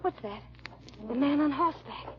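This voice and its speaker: An actress playing a loyal, robust maidservant, excitable yet brave, in melodramatic 1940s radio drama style. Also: female voice, sits high, moderate pace, background noise. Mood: tense, bracing. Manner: melodramatic, alarmed, deferential. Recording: muffled vintage radio recording.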